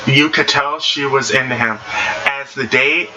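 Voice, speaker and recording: A man with a mid-pitched voice reads stories aloud in a casual, unpolished way. He stumbles and goes back over phrases. The amateur recording has plainly audible noise behind him. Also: fairly even intonation, a little slow. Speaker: host reading online stories aloud. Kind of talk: casual read-aloud with off-the-cuff reactions.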